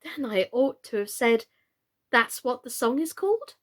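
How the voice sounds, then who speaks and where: A woman's voice: a little high, sing-song, unhurried. A woman voicing a polite, curious young girl in a children's storybook, puzzled and questioning.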